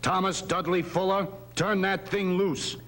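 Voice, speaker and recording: A woman's voice: on the low side, fairly even intonation, unhurried, close to an everyday voice. A woman reading a storybook aloud, voicing a stern grown father, firm and commanding.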